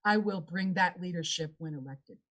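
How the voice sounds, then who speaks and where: A woman's voice: lowish voice, moderate pace. A woman answering as a candidate in a debate, making earnest, repetitive appeals to voters.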